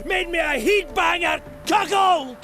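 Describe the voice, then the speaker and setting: Gravelly, foul-mouthed dwarf. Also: male voice, very high-pitched, a little slow, full character voice. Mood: furious, ranting. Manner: crude, sweary ranting. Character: furious drunken-sounding cuckolded male dwarf, crude and bellowing. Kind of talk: video game character acting. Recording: loud music behind.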